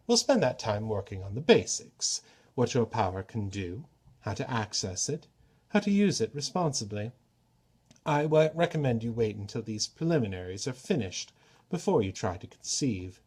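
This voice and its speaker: A man voicing a roguish, grand trickster god from a storybook, with a formal, measured and persuasive manner. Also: medium pitch, big pitch swings, darkish tone, slightly rough. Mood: businesslike. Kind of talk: storybook character reading.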